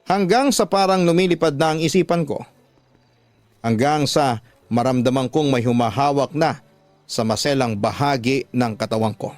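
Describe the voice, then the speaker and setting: A man delivers scripted first-person narration for a radio drama. His voice sits at a middling-to-higher male pitch, with clear music playing behind him. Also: normal speed, darkish tone, no echo.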